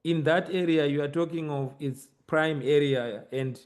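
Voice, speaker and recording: A man's voice: a little high, steady tone, moderate pace, darkish tone. A man with a Zimbabwean accent hosting a conversational podcast discussion about everyday matters. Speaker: host.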